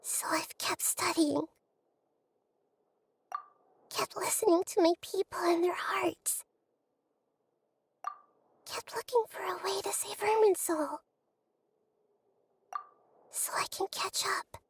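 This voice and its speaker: A woman voicing a gentle, lonely young goddess in a video game, childlike and breathy, speaking in hushed, hesitant introspection. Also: very high-pitched, takes it slowly, pauses often, slight background noise, exaggerated voice. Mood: wistful resolve. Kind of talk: video game voice acting, inner monologue.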